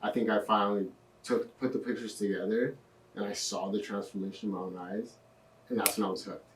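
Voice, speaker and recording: A young man in his twenties, a podcast guest telling his story in a relaxed way with lots of fillers. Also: fairly low pitch, a little fast, recorded from a distance, slight background noise. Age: 20s. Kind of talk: casual interview. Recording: echoey room, off-mic.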